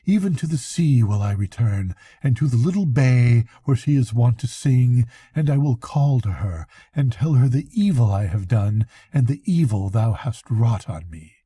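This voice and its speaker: A resolute, lovelorn young fisherman from a storybook, read by an older man, speaking earnest archaic lines. His voice is soft-edged and husky, with a dry, close sound. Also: medium pitch, lively intonation, moderate pace, few pauses, no room sound. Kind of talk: storybook reading.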